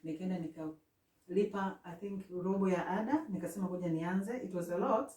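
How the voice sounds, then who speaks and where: A woman's voice: fairly low pitch, brisk, mellow. A young woman with a Kenyan accent, hosting a casual chat and giving earnest advice. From East Africa. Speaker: host. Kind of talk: casual chat, mixing English and Swahili. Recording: echoey room, voice far from mic.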